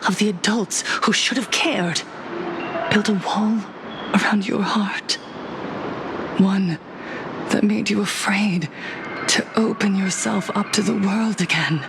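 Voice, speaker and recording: A woman's voice: medium pitch, fairly even intonation, slow, creaky, pauses often, background noise. An actress playing a fervent woman cult preacher in a game cutscene, impassioned and sermon-like, sinking to whispers, with music behind her. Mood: zealous. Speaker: cult leader preaching to a crowd.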